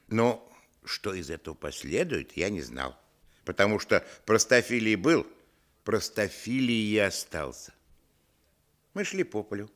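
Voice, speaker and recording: An actor playing a grown man recalling his boyhood, narrating a radio play in a wry, expressive way. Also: lowish voice.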